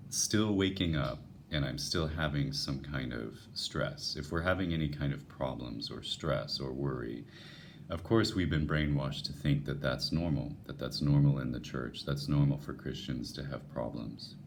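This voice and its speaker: A man with an unusually deep, radio-like voice, talking earnestly and musing to his viewers about faith, with frequent restarts. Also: unhurried, slight background noise. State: voice lowered by a passing illness. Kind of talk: unscripted devotional talk to viewers.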